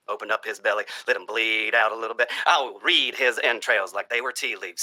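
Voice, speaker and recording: Sinister folksy stump-speech politician, played by a man: piercing, forceful tone, lively pitch, theatrical pauses, vintage radio horror satire. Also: dry recording, poor audio. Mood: sinister, gleeful. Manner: folksy, rhetorical, dramatic pauses and hushed asides. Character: folksy, sinister cultist presidential candidate, genial and menacing. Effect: vintage old-time radio treatment.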